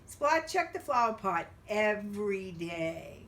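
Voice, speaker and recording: A woman reading a picture book aloud to young children, expressively and with drawn-out emphasis. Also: mid-range voice, sing-song, fairly bright tone, distant mic, a little hiss or hum. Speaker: children's storybook reader.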